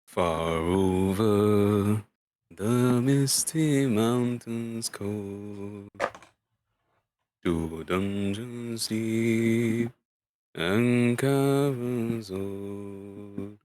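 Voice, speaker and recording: A man voicing a solemn dwarf who sings in slow, drawn-out, off-key chant, in an amateur storybook performance. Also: lowish voice, darkish tone, no room sound. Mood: solemn singing. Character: band of deep-throated male dwarves singing solemnly.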